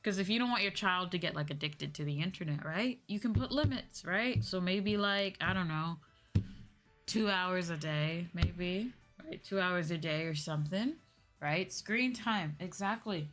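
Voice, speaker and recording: A woman's voice: fairly low pitch, unhurried, a bit bright. A young adult woman streamer chatting casually in a conversational way, often checking in with the listener. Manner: conversational, often checks with "right?" and "you know". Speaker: VTuber streamer. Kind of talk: casual livestream chat. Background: background music.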